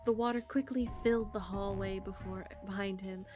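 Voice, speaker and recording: A woman with a mid-pitched voice co-hosts a game stream. She reads visual novel narration and chats in an informal, sweary way over faint music. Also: fairly even intonation, moderate pace. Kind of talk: reading game narration aloud with casual asides.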